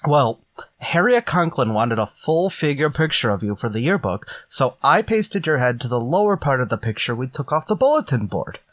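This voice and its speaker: A man plays a slow-witted, good-natured teen from a vintage radio comedy. He sounds earnest, with swooping intonation in a medium register, recorded dry. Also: mid-range voice, big pitch swings, a bit bright, dry recording. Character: dim, good-natured teenage schoolboy Stretch. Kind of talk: 1940s radio sitcom acting.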